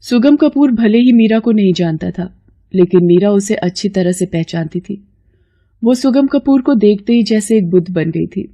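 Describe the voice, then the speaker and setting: A woman's voice: medium pitch, expressive, normal speed, dark tone, no echo. A woman narrator reading a scripted moral story in a dramatic storytelling style.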